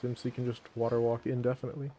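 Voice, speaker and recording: A man's voice: mid-range voice, flat delivery, rapid-fire. A man giving casual let's play commentary, thinking aloud as he goes. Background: game music.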